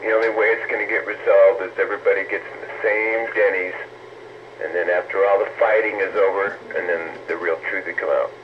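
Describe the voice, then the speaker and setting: A man's voice: low-pitched, fairly even intonation, fairly quick. A man chatting casually as he chimes in over CB radio. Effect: CB radio transmission. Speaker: CB radio operator chiming in. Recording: noisy, dull CB radio transmission.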